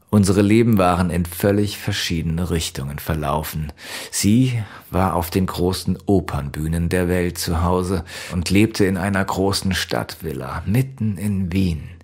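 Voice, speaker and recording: A man narrating a first-person story from a script in a measured, wistful way. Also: deep voice, a little mellow. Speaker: audiobook narrator.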